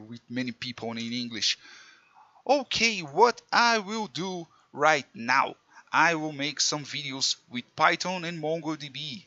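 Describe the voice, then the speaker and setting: A man from Brazil with a Brazilian Portuguese accent, a native Portuguese speaker teaching programming in halting English with many restarts. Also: on the high side, bright, forceful tone, no room sound, lo-fi sound. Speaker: programming tutor. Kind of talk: screen-recorded coding tutorial.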